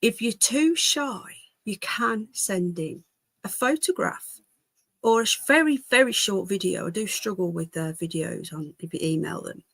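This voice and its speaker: A woman's voice: mid-range voice, expressive, unhurried, creaky, fairly bright tone, no echo. A British woman with a congested voice hosts a livestream in a casual, rambling way, chatting to viewers and easily drifting into asides. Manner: chatty, easily distracted, jumping between asides. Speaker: livestream host.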